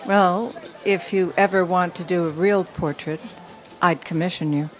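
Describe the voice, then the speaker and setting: A woman acting in an audio drama as a guarded, wealthy businesswoman with a measured, dryly teasing delivery. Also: lowish voice, steady tone, soft background music, close to an everyday voice, rough recording. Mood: sincere, businesslike. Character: dry, guarded, wealthy woman steel mill owner. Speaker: actor.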